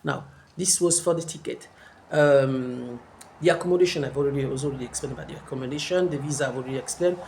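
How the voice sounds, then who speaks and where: Older man, gravelly. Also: medium pitch, fast, darkish tone, slight background noise. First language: French. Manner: rambling, hesitant, many fillers and restarts. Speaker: vlogger. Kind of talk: casual vlog talk to camera.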